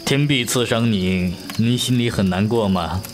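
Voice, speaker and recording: A man playing an avuncular, teasing middle-aged martial-arts master in a Chinese audio drama, unhurried in his telling. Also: on the low side, music in the background, natural voice. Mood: reminiscing. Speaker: voice actor.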